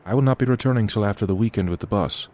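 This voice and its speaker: Man playing a very deep, ominous haunted-inn agent. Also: dark tone, slight background noise, close to an everyday voice. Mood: formal, grave. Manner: formal, measured, ominous. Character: grave, formal male representative of a haunted inn. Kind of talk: audio horror drama acting.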